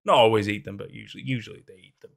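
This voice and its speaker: A man's voice: lowish voice, steady tone, very fast, gravelly, fairly bright tone. A young man narrating a horror story, then chatting casually with lots of fillers and restarts, recorded in a small room at a desk. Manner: rambling, hesitant with many fillers in commentary. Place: small room in front of green screen.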